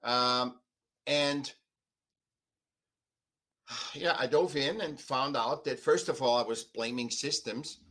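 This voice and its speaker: An older man from Austria with an Austrian accent, telling his own story conversationally as a podcast guest in a halting, emphatic way. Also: mid-range voice, pushed and bright. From Vienna, Austria. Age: 50s or older. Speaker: podcast guest, artist and author.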